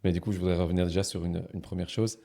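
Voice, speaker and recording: A man's voice: low-pitched, little pitch movement, racing, dark tone. A man hosting a podcast interview in casual chat, hesitant and often restarting his phrases. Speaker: podcast host.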